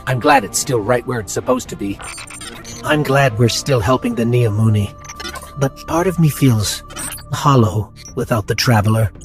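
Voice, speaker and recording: An earnest little robot sidekick from a sci-fi game, played by a man, with a lively, expressive delivery at a medium pitch. He sounds curious and slightly anxious, chatting and questioning over background music. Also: moderate pace, lightly acted. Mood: wistful, hurt. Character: small male robotic Ghost companion, earnest, curious, anxious. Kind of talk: scripted game dialogue.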